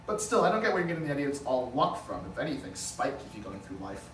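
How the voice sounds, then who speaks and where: Man playing a fast, defensive young lawyer, roomy recording. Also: medium pitch, very animated, faint music behind, lightly acted. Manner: sarcastic, profane. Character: defensive, spiteful, scruffy male lawyer. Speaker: actor at a script table read. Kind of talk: amateur comedic script table read. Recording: roomy, distant mic, faint noise.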